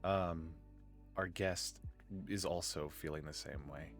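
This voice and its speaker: A man hosting a live stream in casual chat, groggy from just waking up and rambling in a low-key way. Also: fairly even intonation, slow, faint music behind. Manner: rambling and low-key, with many filler words. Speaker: streamer and podcast host.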